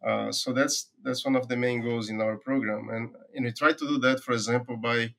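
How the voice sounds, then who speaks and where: A middle-aged man with a Brazilian accent speaks in an easygoing, conversational way, explaining his work as a researcher. His voice sits at an ordinary pitch, and his speech is full of small pauses, fillers and restarts as he gathers his thoughts. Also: fairly even intonation, creaky, fairly bright tone. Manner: thoughtful, many "uh" fillers and repeated words. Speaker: forage agronomy professor, guest. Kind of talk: conversational interview answers.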